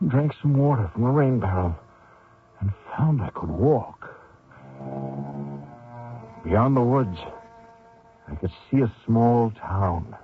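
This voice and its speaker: Desperate fugitive, tinny radio sound. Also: male voice, mid-range voice. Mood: shaken, urgent. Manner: dramatic first-person narration with strained pleading. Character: desperate wounded American fugitive soldier recounting his escape. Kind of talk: old-time radio drama acting. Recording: tinny old radio broadcast with music.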